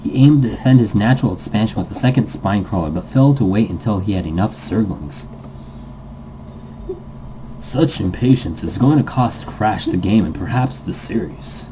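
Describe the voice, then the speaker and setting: A man's voice: on the low side, animated, moderate pace, mellow, a little hiss or hum, rough recording. A man reading visual novel narration aloud dramatically, stumbling now and then and rereading phrases. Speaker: reader, host of a let's read series.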